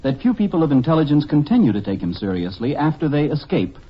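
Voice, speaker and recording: A man's voice: fairly high pitch, big pitch swings, fast, slightly rough. A man giving formal, scripted literary commentary on the radio. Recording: muffled 1949 radio broadcast.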